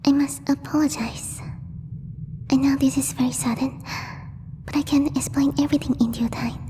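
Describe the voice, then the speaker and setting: A woman voicing a gentle, timid alien girl in a soft, breathy ASMR roleplay, speaking formally and haltingly as she searches for words. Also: high voice, close to an everyday voice. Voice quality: breathy, whispery. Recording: long reverb, faint background noise.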